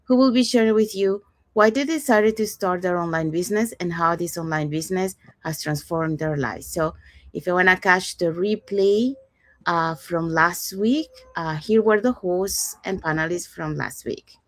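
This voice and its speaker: Woman hosting a live video call, chatty and upbeat, lowish voice, dry sound. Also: normal speed, dry recording. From Panama. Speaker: host. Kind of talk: live online panel chat.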